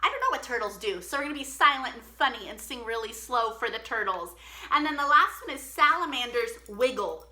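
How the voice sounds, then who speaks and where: Very bright, high-voiced woman hosting storytime. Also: fairly quick. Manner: animated, chatty, addresses children directly. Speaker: children's storytime host. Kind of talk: storytime reading and chat to young children.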